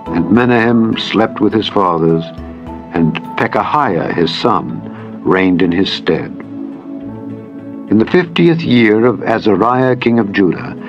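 A man reading scripture as a dramatized audiobook narration, solemn and measured, with deliberate phrasing. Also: on the low side. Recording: dull band-limited audio with music behind. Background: background music.

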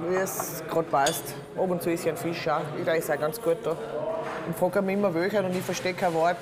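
A woman's voice: on the low side, steady tone, a little fast, a crowd in the background. A woman in her fifties with an Austrian accent, a truck driver chatting bluntly to camera from her cab and grumbling to herself. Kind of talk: casual reality-show chat. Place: truck cab and roadside diner. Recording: loud background music.

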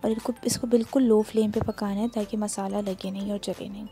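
A woman's voice: medium pitch, little pitch movement, brisk, mellow. A woman with a Pakistani Urdu accent, walking through a home recipe step by step as she cooks in her kitchen. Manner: plain, instructive, explains each step while working. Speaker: cooking channel host.

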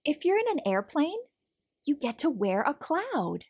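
A woman reading a children's picture book aloud as a storytelling host, in an expressive manner for young listeners. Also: sits high, measured pace. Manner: animated, clearly paced storytelling for young children.

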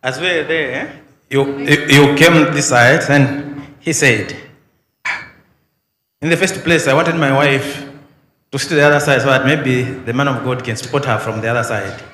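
A man from Zambia speaking hesitantly at a church service, with rising excited bursts. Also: mid-range voice, normal speed. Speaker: congregant. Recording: roomy hall with faint ambient noise.

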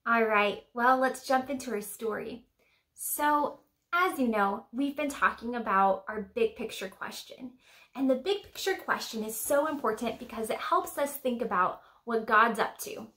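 A woman's voice: on the high side, unhurried, sharp tone. A young woman hosting a children's church lesson to camera, chatting simply and putting questions to the children. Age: young adult. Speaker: children's church teacher and host. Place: small decorated room indoors.

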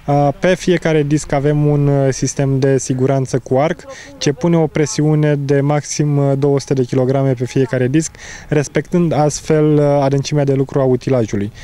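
Man, 30s, product specialist interviewed outdoors. Soft, dark tone, technical delivery with halting pauses. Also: mid-range voice, fairly even intonation. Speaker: agricultural machinery product specialist. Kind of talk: explaining equipment in an interview. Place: outdoors in an open field. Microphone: handheld interview microphone.

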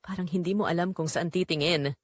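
A woman's voice: lowish voice, steady tone, fairly quick, dry recording, studio quality, lightly acted. A woman voicing a confident, romantic man in his twenties, intense and flirtatious.

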